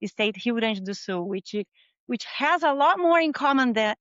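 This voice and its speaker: A middle-aged Brazilian woman speaks English with a clear Brazilian Portuguese accent and a smooth, resonant voice. She talks openly and thoughtfully, with a few small non-native slips. The sound is dry and a little muffled. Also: a little high, lively intonation, moderate pace, fairly bright tone, no room sound. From southern Brazil. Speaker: interview guest, marketing manager. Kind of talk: video interview conversation.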